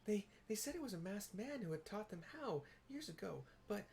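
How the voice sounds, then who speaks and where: Someone doing a formal fantasy rpg character, stately and dramatic, bit gravelly, slight noise behind. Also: medium pitch, big pitch swings, a little mellow, pitched above the performer's own voice. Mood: indignant, troubled. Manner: theatrical, stately, deliberate wording. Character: formal, earnest, archaic-spoken fantasy game character. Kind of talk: dramatic read-aloud of game dialogue.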